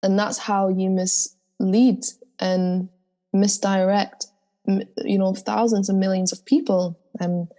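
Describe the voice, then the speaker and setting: A woman singer speaking in an interview in a deep, soulful voice, earnest and spiritual in tone. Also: dark tone. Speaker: guest singer. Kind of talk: reflective interview talk.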